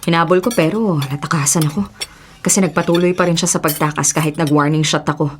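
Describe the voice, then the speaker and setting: A woman's voice: deep voice, steady tone, quick pace, a little hiss or hum, lightly acted. A young woman acting an earnest, principled policewoman and devoted daughter in a radio drama. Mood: earnest, concerned.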